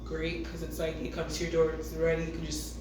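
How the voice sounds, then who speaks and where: A young woman with a raspy voice, co-hosting a podcast in casual chat and laughing often. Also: sits low, monotone, a little fast, darkish tone. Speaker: podcast co-host. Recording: echoey room, distant mic, background noise.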